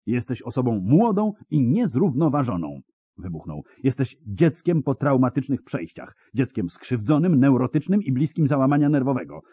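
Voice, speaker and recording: A man's voice: mid-range voice, very animated, moderate pace, mellow, breathy edge, dry recording, pitched above the performer's own voice. A man voicing a stern, worried old hermit scholar who lectures in an exasperated tone.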